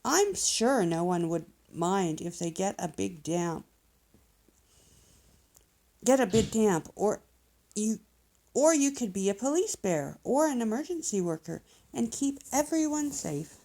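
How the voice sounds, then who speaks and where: Lady doing an eager storybook professor bear guy, really swoopy pitch, unhurried, encouraging and explainy. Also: big pitch swings, dark tone. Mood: eager, upbeat. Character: enthusiastic male professor bear, chatty teaching guide. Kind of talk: children's storybook character voice.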